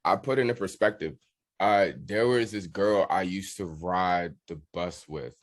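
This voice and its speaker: A man with a low American voice talks as a guest in a relaxed interview. He tells personal stories candidly, using plenty of slang. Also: measured pace. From Washington DC area, United States. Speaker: musician guest, drummer. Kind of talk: casual interview storytelling.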